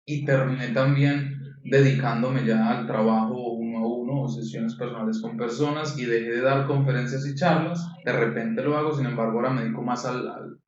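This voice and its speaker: A young man from Colombia, a coaching guest in an interview, telling his story in a measured, reflective way with frequent restarts. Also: mid-range voice, darkish tone. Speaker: guest, neurolinguistic programming coach. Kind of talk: interview answers. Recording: echoey room, distant dull mic.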